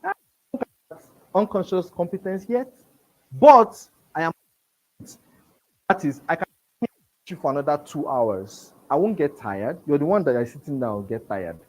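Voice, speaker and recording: A man's voice: fairly high pitch, normal speed, pauses often, rough recording. Stammering Nigerian man teaching a seminar. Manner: stammers, repeats words, energetic. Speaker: business trainer. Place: seminar room with a live audience.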